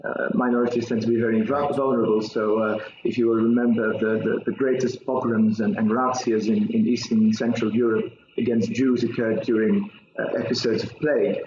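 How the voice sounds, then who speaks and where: A man from Belgium, a panel guest speaking over a video call in a measured, hesitant way with many fillers. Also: lowish voice, flat delivery. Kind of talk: remote panel discussion. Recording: roomy, dull audio.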